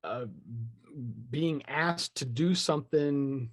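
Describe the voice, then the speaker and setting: A man's voice: on the high side, slow pace, dry recording. A man in a casual podcast chat, opinionated and rambling as he thinks aloud. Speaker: podcast speaker discussing film franchises.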